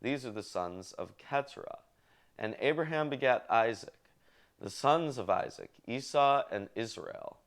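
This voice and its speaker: Male reader, low clear voice, unhurried and deliberate, stepping through lists with offhand asides. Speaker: show host reading scripture aloud. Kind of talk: halting read-aloud of Bible genealogy with casual asides.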